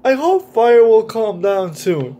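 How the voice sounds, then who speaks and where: A man's voice: high voice, sing-song, takes it slowly, dark tone, muffled recording. A man hosting a children's story time, reading a picture book aloud and explaining it directly to young listeners.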